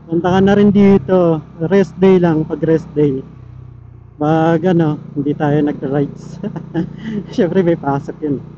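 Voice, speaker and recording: A man's voice: a little high, fairly even intonation, takes it slowly, long pauses. Man, muffled helmet intercom, faint vehicle noise, casual motovlog chat, playful. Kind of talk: casual riding vlog chat. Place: outdoors, riding a motorcycle. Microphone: helmet intercom mic.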